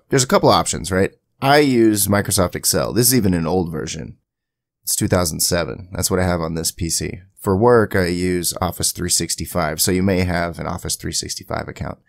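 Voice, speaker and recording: A man giving a casual software tutorial in a conversational way, with chatty asides, as the creator of the video. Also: low-pitched, no room sound. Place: indoors at a home PC, voice-over to screen capture.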